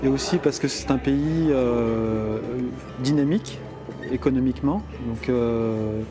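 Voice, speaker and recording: A man's voice: medium pitch, unhurried. A man who is a father, speaking composedly with frequent hesitations in a testimonial interview. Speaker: immigrant father.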